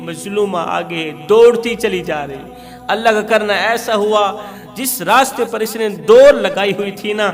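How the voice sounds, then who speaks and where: A male preacher with a notably high-pitched voice tells a story as an impassioned sermon. His delivery is dramatic and pleading, hammering phrases through repetition. Long reverb surrounds the voice, with music underneath. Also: a bit bright.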